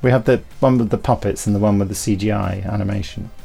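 A man's voice: lowish voice, fairly even intonation, fast talker, vocal fry, mellow, faint music behind. A British man hosting a podcast in casual, teasing chat. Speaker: podcast host.

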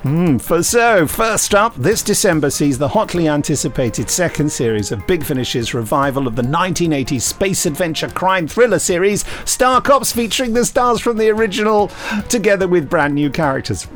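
A man co-hosting a podcast, reading out news in casual chat with dry jokes that trail off quietly. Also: a little high, expressive, moderate pace, faint music behind. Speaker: podcast co-host.

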